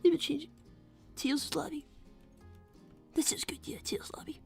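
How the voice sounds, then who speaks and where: A woman's voice: high voice, fairly even intonation, moderate pace, creaky, darkish tone, soft background music. A woman voicing an anxious, earnest young boy fox who stammers defensively on a character livestream. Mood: conversational. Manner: stammering, flustered. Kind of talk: improvised character livestream with donation reading.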